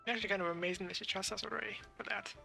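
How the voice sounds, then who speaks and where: Woman, game streamer, casual commentary, sharp bright tone, creaky, off-the-cuff, hesitant, faint music behind. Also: mid-range voice, fairly even intonation, rapid-fire. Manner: off-the-cuff, hesitant, thinking aloud.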